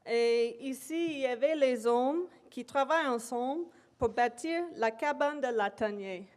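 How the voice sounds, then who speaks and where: Woman giving a talk, slow and halting, kinda high voice. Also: a little mellow. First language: English. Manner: hesitant, many pauses and fillers. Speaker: invited speaker. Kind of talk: academic presentation. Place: lecture hall.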